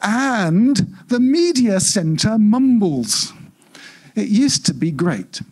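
A man's voice: sits high, big pitch swings, takes it slowly, darkish tone, slightly rough, full character voice. A man voicing an exasperated, self-important home AI in a live story reading, complaining indignantly and emphatically.

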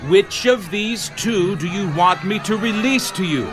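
An actor playing an authoritative, exasperated Roman governor, declaiming to a crowd in a dramatized audio Bible. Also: male voice, sits high, a little slow. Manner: loud, declamatory questioning of a crowd. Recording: crowd and music behind the voice.

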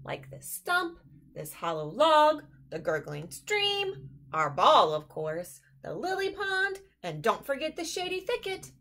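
A woman's voice: sits high, huge pitch swings, slow, a bit bright, pitched above the performer's own voice, full character voice. A woman voicing a timid, grumbling male goose in a picture book read-aloud. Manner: worried, grumbling.

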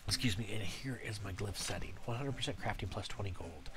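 Brief: low-voiced man, congested from a cold, hesitant tutorial delivery, music underneath. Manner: halting, many uhs and restarts. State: stuffy from a cold. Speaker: game guide creator. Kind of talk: casual screen-recorded tutorial.

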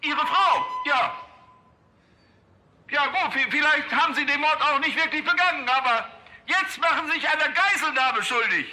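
An actor playing a pompous, awkward police chief negotiating through a megaphone, halting and officious. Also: male voice, very high-pitched, bright, forceful tone, full character voice, low-quality recording. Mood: strained diplomacy. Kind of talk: television crime comedy acting.

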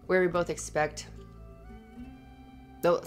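A low female voice over faint music , a woman acting the part: an affectionate live-in girlfriend in an intimate ASMR roleplay. She is earnest and a little anxious, talking in a conversational, hesitant ramble. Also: level tone, slow pace. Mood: tentative, fond. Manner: rambling, hesitant, giggly asides. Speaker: audio roleplay performer.

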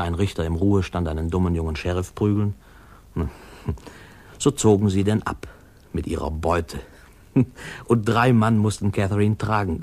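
A man's voice: low voice, a little mellow. An actor playing a man recalling his boyhood, narrating a radio drama in a conversational, amused way. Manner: conversational, hesitant, chuckling storyteller.

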